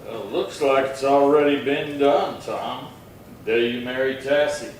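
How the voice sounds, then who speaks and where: An actor playing a calm, dry, unhurried Old West marshal in a radio drama. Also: male voice, mid-range voice, lightly acted. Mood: firm, calm. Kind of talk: old-time western radio acting. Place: live theatre stage performance. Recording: very roomy, distant stage pickup, faint noise.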